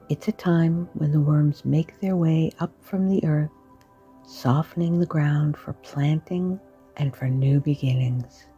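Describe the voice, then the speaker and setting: A woman guiding a sleep meditation in a slow, soft, partly whispered voice. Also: low-pitched, slightly rough, lo-fi sound. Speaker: meditation guide.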